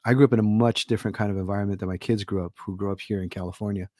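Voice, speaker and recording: A man's voice: on the low side, steady tone, racing, mellow, dry recording, studio quality. A middle-aged man from Hawaii, an author guesting on a podcast, talking in a thoughtful, rambling way with many fillers. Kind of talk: casual podcast conversation.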